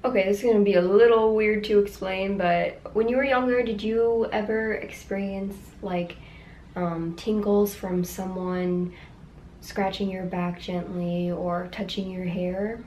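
A woman's voice: mid-range voice, fairly even intonation, unhurried, darkish tone. A woman in her twenties chatting in a casual skit, explaining things in a hesitant, awkward way. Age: 20s. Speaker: ASMR video creator acting out a skit. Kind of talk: casual conversational comedy skit. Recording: echoey room with background noise.

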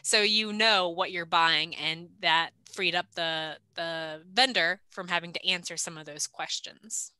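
A woman's voice: lowish voice, a little slow, hardly any pauses, pushed and bright, clear, resonant tone, dry recording. A young woman giving a practical, conversational training presentation over a video call, with frequent filler "um"s. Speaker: extension agent giving vendor training. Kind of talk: recorded online training presentation over slides. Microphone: video call webcam.

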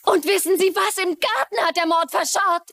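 High-voiced, eager young wife. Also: female voice, normal speed, gravelly, fairly bright tone, heavily acted. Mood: curious. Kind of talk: dubbed TV crime drama acting.

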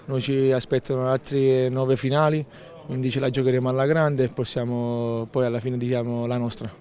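A young man, a football defender, calmly answering post-match questions outdoors, repeating his phrases. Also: medium pitch, normal speed. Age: young adult. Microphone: handheld interviewer mic.

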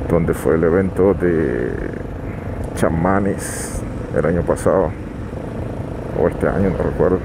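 A man calmly narrating a motorcycle vlog while riding, giving unhurried advice with some restarts. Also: low-pitched, gravelly. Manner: calm, advising, unhurried with restarts. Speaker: motovlogger. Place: riding a motorcycle on a highway outdoors. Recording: road and wind noise.

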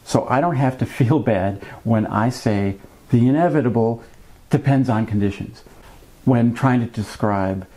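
A man in his fifties hosting a fishing vlog, explaining things to camera in a conversational, measured way with wry asides. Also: mid-range voice, mellow. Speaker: fishing channel host. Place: small indoor room at a desk with a computer.

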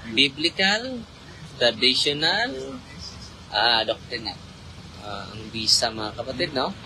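Man, priest, casual live chat, laughs a lot, bright tense voice, faint road hum. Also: medium pitch, low-quality recording. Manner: chatty, laughs often, switches between Tagalog and Cebuano. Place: inside a car in traffic at night. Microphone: phone held at arm's length.

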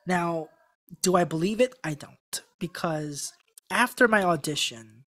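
A man's voice: fairly high pitch, measured pace. A young man of Korean background, a podcast guest, telling a story in casual talk full of restarts, close to a studio mic in a small room. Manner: animated storytelling with many restarts. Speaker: podcast guest, former contestant. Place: small room with a gray backdrop. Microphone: studio mic on a stand in front.

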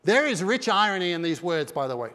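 High-voiced pastor preaching in a hall. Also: male voice, sing-song, fast, bright, forceful tone. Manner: emphatic preaching with broad hand gestures. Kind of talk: sermon. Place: large dark church stage. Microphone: headset mic. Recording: long reverb of a big hall.

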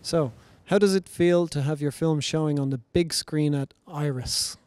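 A man's voice: a little high, normal speed, a little mellow, no room sound. A man in his forties, a film director, talking thoughtfully with many fillers into a handheld mic in a festival lobby. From Ireland. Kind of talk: casual panel conversation. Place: large bright festival lobby.

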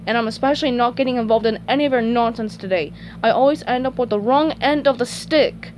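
Woman plays sour male cashier creature, storybook. Weary, grumbling, exasperated deadpan. Noisy recording. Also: a little high, quick pace, lightly acted. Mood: curt, sour. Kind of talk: children's storybook character reading.

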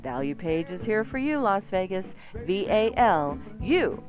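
A woman's voice: mid-range voice, sing-song, measured pace. A woman co-hosting a radio show, reading ads and bantering in a radio studio. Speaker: radio co-host and ad reader. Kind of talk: lively radio ad read and banter. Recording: radio broadcast with music behind. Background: music.

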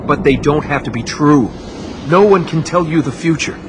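An actor playing a glib, sarcastic young adventurer hero in a video game. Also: male voice, fairly high pitch, moderate pace, heavy background noise, natural voice. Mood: earnest. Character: cocky wisecracking young male adventurer hero.

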